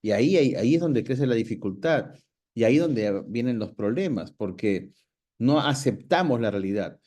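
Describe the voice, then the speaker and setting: A man's voice: medium pitch, lively intonation, normal speed, warm tone. A man, hosting a talk and reflecting thoughtfully with many fillers. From Peru. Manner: reflective, asks the guest's view, many fillers. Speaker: host. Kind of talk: casual conversational talk.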